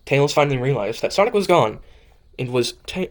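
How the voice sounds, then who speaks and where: A man's voice: medium pitch, big pitch swings, fairly quick. Man stumbling through fan fiction narration. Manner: stumbles over lines and corrects himself. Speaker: narrator and maker of the audio drama.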